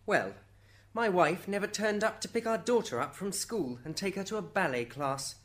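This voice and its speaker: A man's voice: high-pitched, animated, moderate pace, fairly bright tone. A man playing an aggrieved dead husband giving witness testimony in an amateur radio drama. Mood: stiff, aggrieved.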